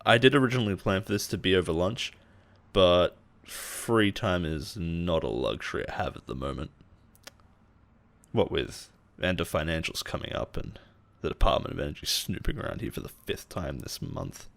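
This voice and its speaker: An actor playing a possessive male boss in an intimate roleplay audio drama, coaxing and quietly menacing. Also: deep voice, lively intonation, moderate pace, gravelly, full character voice. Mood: coaxing, friendly. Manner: soft-spoken coaxing, chuckles and sighs, quietly menacing.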